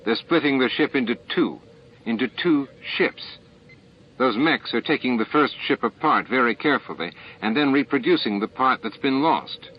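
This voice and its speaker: A man's voice: mid-range voice, animated, normal speed, a little hiss or hum, voice pushed up, close to an everyday voice. Man playing a frank starship engineer, muffled. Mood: baffled, impressed. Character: practical male chief engineer, frank and admiring. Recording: muffled, band-limited tape audio.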